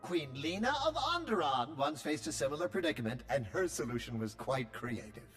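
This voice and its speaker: An actor voicing a chatty droid in a video game, prim and cheerfully tactless. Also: male voice, mid-range voice, big pitch swings, fairly quick, a bit bright, faint music behind.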